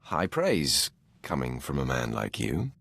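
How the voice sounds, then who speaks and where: A cold, commanding dark lord from a video game. The voice is extremely deep and husky, full of gravel. Each line is haughty and disdainful, with dramatic rises and falls. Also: male voice, huge pitch swings, a little slow, dry recording, heavily acted. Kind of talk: video game character dialogue.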